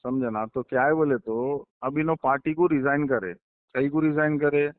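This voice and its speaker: A man's voice: medium pitch, fairly even intonation, moderate pace, no room sound. A man with a Hyderabadi accent talking informally, pressing his points with repetition and pointed questions. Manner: insistent, repeats himself and presses points with questions. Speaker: political insider giving his account to a journalist. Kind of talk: informal phone conversation. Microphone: phone line. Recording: tinny recorded phone call.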